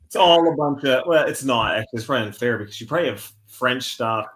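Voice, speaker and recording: A man's voice: medium pitch, lively intonation, fast, fairly bright tone. An American man chatting casually as a stream guest, rambling and redirecting himself mid-thought. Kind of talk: casual chat.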